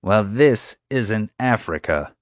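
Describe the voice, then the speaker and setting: A man voicing a pompous, gruff, territorial security chief with a curt, commanding manner in an audiobook. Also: fairly low pitch, lively intonation, takes it slowly, no room sound, studio quality, pitched above the performer's own voice. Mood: territorial, angry. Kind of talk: audiobook character dialogue.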